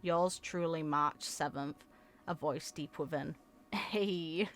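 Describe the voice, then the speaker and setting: A woman with a slightly low voice reads video game story text aloud. She is an earnest, expressive reader with the informal feel of a gaming creator. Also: slow pace. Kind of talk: reading story text aloud with casual reactions.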